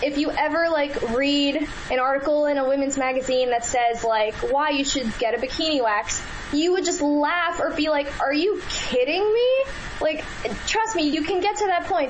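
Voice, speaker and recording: A woman with a high-pitched voice, a radio interview guest who answers earnestly, with many fillers and restarts. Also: a little hiss or hum, low-quality recording.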